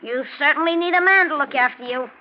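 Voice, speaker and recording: A man's voice: extremely high pitch, fast talker. An actor playing a bossy, protective little man with a brusque, teasing manner in a 1940s radio drama. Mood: nagging, fond. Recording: dull, noisy 1940s radio recording.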